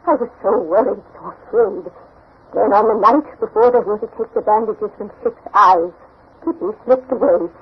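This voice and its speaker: A woman's voice: mid-range voice, moderate pace, slightly rough. An actress playing an anxious, brooding old woman who narrates a ghostly tale in solemn 1940s radio drama style. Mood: fearful, urgent. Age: older. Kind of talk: 1940s radio drama acting, haunted first-person narration. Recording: dull, muffled 1940s radio recording.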